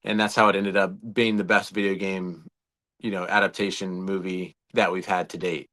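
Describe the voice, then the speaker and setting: Deep voiced guy on a podcast, rambly and into it, casual movie chat. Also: little pitch movement, moderate pace, sharp tone. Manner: enthusiastic, rambling fan talk. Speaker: podcast co-host.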